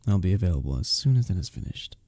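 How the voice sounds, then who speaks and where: A man playing a courteous, smitten young village innkeeper in an intimate romantic fantasy roleplay, speaking softly and breathily with formal, bashful phrasing. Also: low-pitched, sing-song, quick pace, no echo, clean studio sound. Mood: earnest, admiring.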